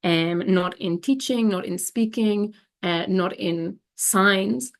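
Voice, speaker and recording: A woman's voice: medium pitch, slow pace, creaky. A young woman from Israel giving a slide presentation, hesitant and full of fillers and restarts.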